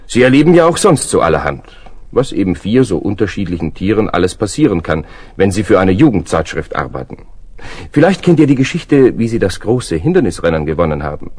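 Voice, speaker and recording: A man playing a playful, chatty storyteller, narrating a children's audio play in a husky, confiding way. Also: deep voice, darkish tone, heavily acted. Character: playful, chatty male storyteller introducing animal detectives.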